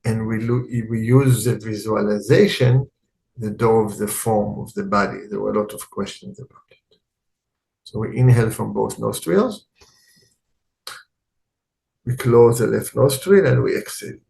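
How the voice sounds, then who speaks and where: Very slow-talking man guiding meditation. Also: fairly low pitch, gravelly, a little hiss or hum, poor audio. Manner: calm, unhurried, with long pauses between short phrases. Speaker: meditation teacher. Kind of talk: guided meditation instruction.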